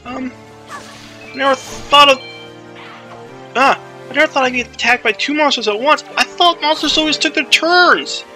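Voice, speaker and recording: A man's voice: very high-pitched, normal speed, pauses often, bright, forceful tone, soft background music, pitched above the performer's own voice, exaggerated voice. A man voicing a boastful, panicky young boy hero in a comedic video game dub, exclaiming and narrating himself dramatically. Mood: panicked, excited.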